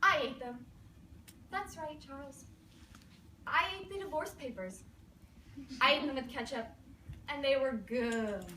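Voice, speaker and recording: A woman's voice: high-pitched, unhurried, long pauses, sharp tone. A woman performing a comic stage monologue as a quirky, manically lovesick wife refusing divorce, dramatic and pleading. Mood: manic, wounded. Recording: roomy, distant mic, faint noise.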